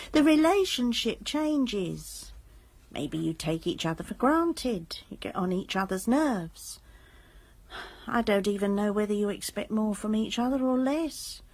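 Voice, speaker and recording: A woman's voice: a little high, dry recording. An actress playing a disillusioned middle-aged mother with a British accent in a radio drama, speaking in a reflective, candid way. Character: disillusioned middle-aged mother, weary of long marriage, protective.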